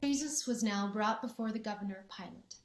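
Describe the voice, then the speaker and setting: A woman's voice: medium pitch, fairly quick, roomy sound. A woman narrating a scripture passage in a church, reading evenly and carefully. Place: church sanctuary.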